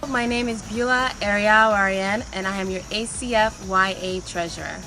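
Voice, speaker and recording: A young woman from Nigeria with a mid-pitched voice speaks sincerely and composedly. Music is clearly audible beneath her. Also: fairly even intonation, moderate pace, sharp tone, poor audio. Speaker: fellowship treasurer. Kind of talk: personal testimonial. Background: clear background music.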